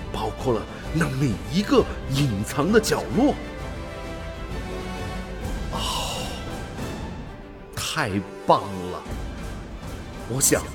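Male actor for awestruck explorer in an alien body, radio drama; slow theatrical wonder, light music underneath. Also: fairly high pitch. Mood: excited awe. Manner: theatrical, halting, exclamatory wonder. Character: awestruck man turned into alien Jovian creature, fervent explorer. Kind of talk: dramatic radio play acting.

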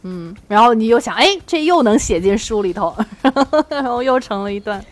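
High-voiced woman podcast host. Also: expressive, moderate pace. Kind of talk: casual book chat and reading aloud.

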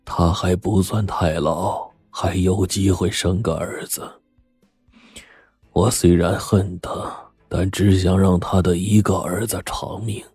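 A weary servant full of remorse, acted by a male narrator, talks in a low, husky hush. His lines drag with resignation, in the manner of a storybook drama. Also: no echo, studio quality, exaggerated voice. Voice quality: hushed, husky. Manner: heavy, resigned, sighing. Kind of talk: audiobook character acting.

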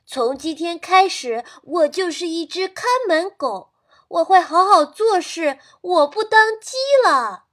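A woman's voice: very high-pitched, expressive, slow, a bit bright, pitched above the performer's own voice, full character voice. A woman voicing a frightened pet rooster who clucks, chirps and barks, and pleads for his life. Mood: pleading.

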